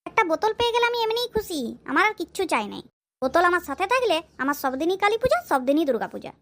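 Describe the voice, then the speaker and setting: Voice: very high voice, sing-song, fairly bright tone, full character voice. An actor voicing a carefree, blustering village drunkard in a comedy cartoon, bantering cockily. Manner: cocky, rapid rustic banter. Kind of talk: exaggerated comic cartoon dialogue.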